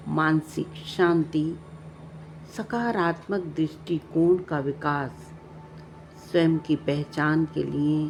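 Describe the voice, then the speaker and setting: A woman narrating a guided meditation, giving calm, measured instructions with long pauses. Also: low-pitched. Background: background music.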